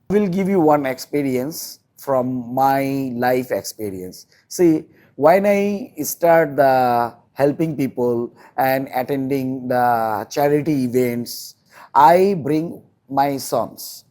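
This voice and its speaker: Slow-talking Bangladeshi man, earnest and halting. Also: mid-range voice, darkish tone. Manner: halting, repeats phrases, earnest. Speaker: interview guest, volunteer social worker. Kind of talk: earnest interview talk in non-native English.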